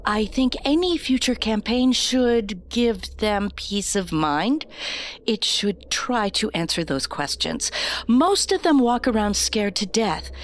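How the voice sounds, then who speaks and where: An actress playing an earnest, careful campaign adviser, nervous but composed and deferential, in an audio drama. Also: female voice, mid-range voice, lively intonation, takes it slowly, a little hiss or hum. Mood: earnest, tense. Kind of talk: scripted audio drama acting.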